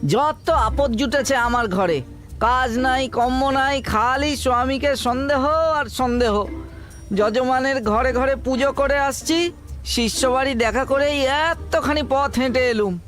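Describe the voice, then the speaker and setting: A henpecked old village priest, weary and self-pitying, as a man acts him out. His voice sits very high for a man, and his talk runs to plaintive grumbling. Faint music plays behind him in this amateur radio play. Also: a little slow, fairly bright tone. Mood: irritated.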